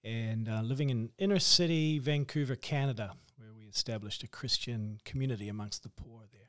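A man with a New Zealand accent, a guest in a podcast interview, speaking in an earnest, measured way with ums. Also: mid-range voice, no room sound, studio quality. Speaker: guest, ministry leader and author.

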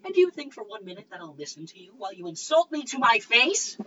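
A woman playing a proud, quick-tempered lady in a cold read of a comic play, theatrical and self-satisfied. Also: fairly high pitch, sing-song, a bit bright. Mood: offended. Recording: dull recording with faint background noise.

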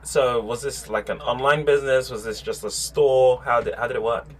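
A man hosting a podcast, reading a lively intro and then interviewing a guest in a friendly, eager way. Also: mid-range voice, moderate pace. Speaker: podcast host.

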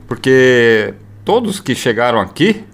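Host: Brazilian man, Brazilian Portuguese accent, mid pitch, casual slangy delivery, faint music underneath. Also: sing-song, unhurried. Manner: informal, uses slang tags like "né" and "mano". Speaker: podcast host. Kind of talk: casual interview chat.

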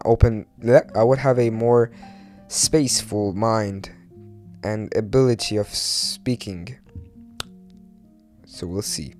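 Guy with a lowish voice doing a solo podcast, hesitant confessional rambling, kinda down on himself, music in the back. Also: a little mellow. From Iran, later Turkey. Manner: hesitant, halting, self-deprecating, swears casually. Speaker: podcast host.

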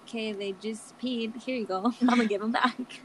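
A woman in her twenties from Hawaii, co-hosting a podcast over a video call, playful and quick to giggle. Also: on the high side, fairly even intonation, a little hiss or hum. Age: 20s. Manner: playful, giggly, joking asides. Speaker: podcast co-host. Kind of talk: casual chat.

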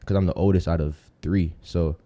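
A man's voice: deep voice, steady tone, fairly quick, dark tone. A young American man of about twenty-one chatting casually as a podcast guest, telling stories in informal street slang. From Tampa, Florida, United States. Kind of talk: casual chat, storytelling.

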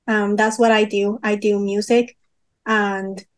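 A young woman from Peru answering interview questions casually, often pausing and restarting as she searches for words. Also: medium pitch, little pitch movement, smooth. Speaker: guest musician.

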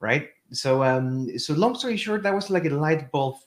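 A man's voice: a little high, lively intonation, moderate pace. A man with a Chilean accent, a guest in a casual interview, talking with many fillers and repeated words. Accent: Chilean Spanish. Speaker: guest, real estate investor.

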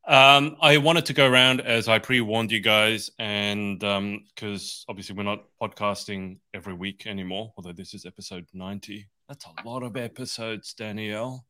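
Teasing male podcast host, bright voice. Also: fairly low pitch, steady tone, normal speed, few pauses. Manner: teasing, jokey. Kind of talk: casual chat.

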